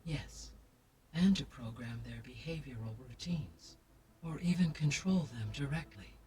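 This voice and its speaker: A woman voicing a calm, formal female AI guardian in a video game, speaking in a measured, precise way. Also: very deep voice, a little hiss or hum. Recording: distant game audio with music.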